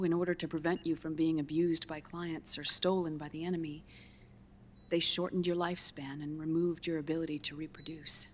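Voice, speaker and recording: A woman playing a grave, earnest scientist in a video game cutscene, speaking in a measured, deliberate, clinical way with breathy pauses. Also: deep voice, a little hiss or hum, close to an everyday voice. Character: grave, earnest female scientist explaining grim news gently.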